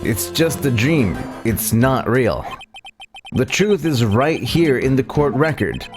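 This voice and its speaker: A man's voice: mid-range voice, very animated, slow. Man playing a determined young defense attorney. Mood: resolute. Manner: earnest, resolute. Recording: loud game music underneath. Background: video game music.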